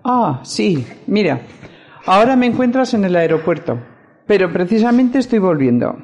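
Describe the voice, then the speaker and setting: A woman's voice: medium pitch, sing-song, normal speed, a little mellow, natural voice. A woman with a Spain Spanish accent playing a businesslike, practical woman in an amateur radio drama. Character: businesslike adult woman on a phone call.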